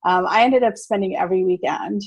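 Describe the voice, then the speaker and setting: A woman's voice: mid-range voice, brisk, dry recording. A woman in her thirties, originally from South Africa but with an American accent, casually telling her story as a podcast guest, full of fillers and easy laughter. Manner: chatty, lots of fillers, laughs easily.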